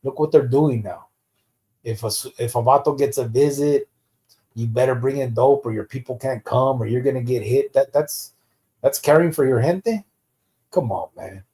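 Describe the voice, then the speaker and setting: A man's voice: medium pitch, moderate pace, dark tone. Male live podcast host from the United States, streetwise and blunt, casual and profane, with slang mixed in. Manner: slips Spanish slang into English. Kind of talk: live stream casual chat, reading out viewer comments.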